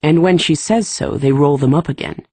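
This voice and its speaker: A woman's voice: low-pitched, moderate pace, mellow, breathy edge, no room sound, pitched below the performer's own voice. A woman voicing a blunt, plain-spoken young male gardener who talks in clipped, folksy words, reading a storybook.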